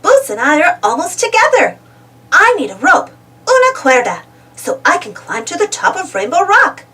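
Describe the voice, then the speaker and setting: A woman's voice: very high voice, wildly swooping pitch, moderate pace, bright, forceful tone, far from the mic, full character voice. A woman voicing a cheerful, eager little girl adventurer in a children's storybook, exclaiming and putting questions to the listener. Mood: happy. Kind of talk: children's storybook read-aloud.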